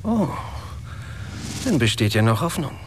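A proud, bitter man in a radio drama, speaking standard German at a middle pitch. His delivery is sardonic and cutting. Clear outdoor-like ambience with animal sounds sits behind him. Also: very animated, a little slow, a little hiss or hum. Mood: dry, mocking. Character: bitter, proud paralysed inventor, a cynical man.

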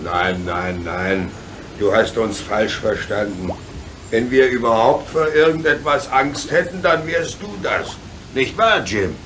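A man's voice: medium pitch, animated, a bit bright. A man playing an easygoing, reassuring adult locomotive driver in a children's radio play. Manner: easygoing, avuncular, hesitant pauses. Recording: noisy background with hiss.

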